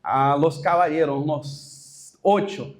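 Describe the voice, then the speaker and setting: High-voiced Brazilian-accented pastor telling his story. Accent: Brazilian Portuguese. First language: Portuguese. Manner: storytelling, halting with restarts and mixed-in Portuguese words. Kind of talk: testimony at a leadership workshop.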